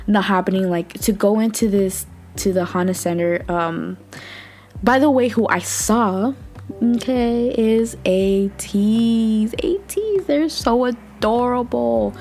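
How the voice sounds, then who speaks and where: A young woman from Southern California hosting a podcast in casual, bubbly chat, her voice a little raspy from just waking up. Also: mid-range voice. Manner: chatty, bubbly, laughs often, quiet morning talk. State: slightly raspy morning voice. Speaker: podcast host.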